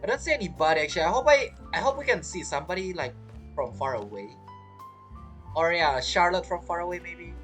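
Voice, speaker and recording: A woman's voice: on the low side, lively intonation, brisk, fairly bright tone, soft background music. A woman streamer giving casual game commentary, chatty and reacting aloud in short fragments. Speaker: gaming streamer.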